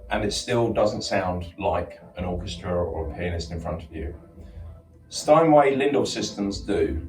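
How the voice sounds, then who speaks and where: A middle-aged man, a home cinema dealer, explaining speakers with enthusiasm and frequent restarts in a showroom. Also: sits low. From England. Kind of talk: showroom product walkthrough. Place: large showroom. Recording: roomy echo, distant mic.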